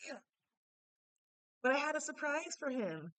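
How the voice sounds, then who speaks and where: A woman with a slight Panamanian Spanish accent telling personal stories in a casual, animated monologue, often checking in with "right?". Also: sits high, a little slow. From born in Japan, Panamanian family. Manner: animated, conversational, frequent "right?" asides. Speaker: self-help speaker.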